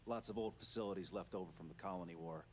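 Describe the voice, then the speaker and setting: An actor playing a wary city security guard in a video game. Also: male voice, sits low, fast talker, a little mellow, a little hiss or hum, close to an everyday voice. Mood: matter-of-fact. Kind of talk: game character dialogue. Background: game music.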